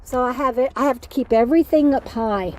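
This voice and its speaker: Middle-aged woman, tired livestream host, rambly and warm-hearted, fairly high voice. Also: fairly quick, slight background noise. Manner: rambling, chatty, talks to her dog mid-stream. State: tired from lack of sleep, voice fading. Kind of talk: casual livestream chat. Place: inside an RV.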